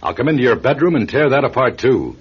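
An actor playing a protective middle-aged lawyer friend in a classic radio drama, urgent, authoritative and coaxing. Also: male voice, medium pitch, big pitch swings, quick pace, husky. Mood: determined. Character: protective, insistent gentleman lawyer and loyal friend. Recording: muffled vintage radio, music behind.

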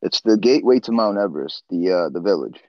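A man from Nepal, a guest on an interview podcast, telling his life story in a relaxed, chatty way with frequent "uh" and "you know". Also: low-pitched, fast, no echo, highs cut off. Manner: laid-back, laughs often, trails off with "uh" and "you know". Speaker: guest, swimbait builder.

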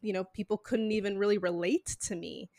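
A woman's voice: mid-range voice, fairly even intonation, fairly quick, warm tone. A woman hosting a podcast, asking questions in a thoughtful, loosely phrased way with many fillers. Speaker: podcast host. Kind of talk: conversational interview.

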